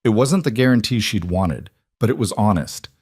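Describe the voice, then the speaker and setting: A man narrates dramatic romance fiction in a low voice. The recording is dry, with very little room sound. He reads from a script as a storybook narrator. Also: expressive, normal speed, darkish tone, husky, dry recording.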